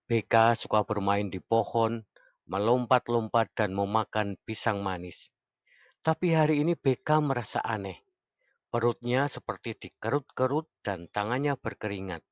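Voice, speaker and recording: A man reading as an expressive narrator of a children's storybook, in a dull lo-fi recording. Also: lowish voice, normal speed, no echo, natural voice. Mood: storytelling. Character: children's storybook narrator telling a little monkey's tale.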